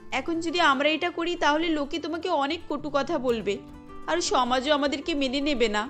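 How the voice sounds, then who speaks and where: Casting: female narrator as troubled young hijra in a cartoon, high voice, hesitant questioning delivery, music behind. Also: moderate pace, a bit bright. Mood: reluctant, troubled. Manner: plaintive, questioning. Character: sad, hesitant young hijra longing for motherhood. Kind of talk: cartoon story dialogue.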